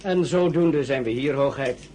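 A man's voice: mid-range voice, unhurried, warm tone, slight background noise, close to an everyday voice. A man playing a loyal, solemn army colonel in a radio drama, speaking formally and with grave respect. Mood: weary, respectful. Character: loyal, solemn French colonel home from defeat.

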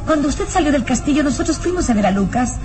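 A woman's voice: high-pitched, expressive, fast talker. A woman playing a distraught young noblewoman, a dutiful but defiant daughter, in a melodramatic radio serial. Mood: hesitant, earnest. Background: dramatic music.

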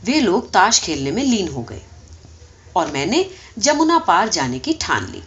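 Animated woman reading a story. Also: fairly low pitch, muffled recording. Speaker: story narrator. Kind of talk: expressive audiobook reading.

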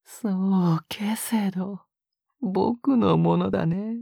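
A man playing an obsessive young captor in an intimate audio drama, coaxing in a husky whisper with sweetly condescending menace. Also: high voice, dry recording, studio quality, heavily acted. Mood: coaxing, possessive. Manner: slow, coaxing, condescendingly gentle.

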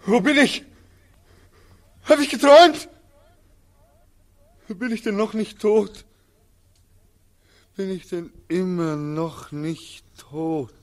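A man's voice: high-pitched, slow, long pauses. A man acting in a 1940s radio play as a despairing soldier home from war, declaiming in an anguished, incantatory way. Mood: anguished, bewildered. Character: despairing war returnee Beckmann, haunted, exhausted, bitter.

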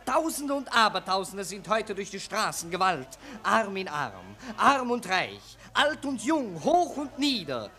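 A self-important, excitable Viennese newspaperman in an old 1940s radio play. His voice sits high and swings widely as he declaims like an orator, emphatic and cynical. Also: measured pace, few pauses, heavily acted. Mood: grandiloquent.

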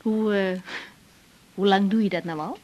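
A woman acting a caring young doctor in a naturalistic radio drama, softly concerned, questioning and a little hesitant. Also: mid-range voice, a little slow, muffled recording, close to an everyday voice. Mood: relaxed, curious.

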